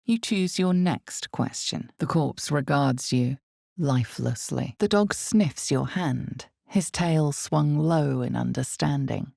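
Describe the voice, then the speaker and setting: Female game narrator: omniscient, ominous, dark mellow tone with heavy fry, measured dramatic delivery, very dry close sound. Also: no room sound, heavily acted. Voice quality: creaky, low. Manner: slow, deliberate, dramatic storytelling. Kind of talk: scripted second-person dark fantasy narration.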